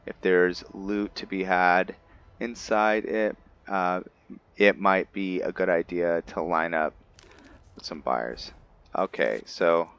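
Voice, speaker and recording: Male gameplay commentator, low pitch, slow delivery, musing and hesitant, unscripted. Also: fairly bright tone, smooth, highs cut off. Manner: hesitant, musing, thinking aloud. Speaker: gaming video host, role-playing a character.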